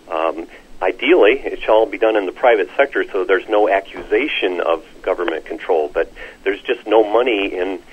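A man with a husky voice explaining things as an expert interview guest, hesitant and measured with frequent pauses. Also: low voice. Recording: slightly dull, lo-fi radio-call sound.

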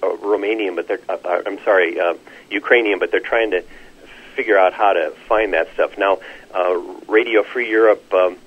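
Husky low-voiced man, slightly muffled. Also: fairly even intonation. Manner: hesitant, measured, frequent long pauses. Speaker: expert interview guest. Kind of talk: explanatory radio interview. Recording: slightly dull, lo-fi radio-call sound.

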